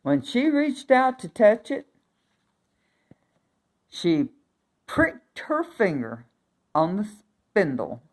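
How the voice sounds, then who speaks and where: A woman reading a fairy tale aloud for young children, expressive, with chatty asides and emphatic repetition. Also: mid-range voice, extremely slow, long pauses.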